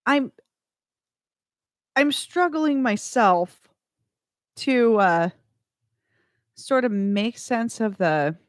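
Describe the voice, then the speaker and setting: A woman's voice: a little high, animated, dry recording, clean studio sound. A woman hosting a radio music show, chatting between tracks in a rambling way that corrects itself as she goes. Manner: slow, rambling, self-correcting.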